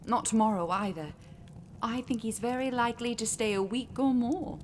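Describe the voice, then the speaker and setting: An actress playing a kindly, chatty older housekeeper in a period audio drama, genteel and fussing. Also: female voice, a little high, moderate pace, natural voice. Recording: faint background noise, ambience.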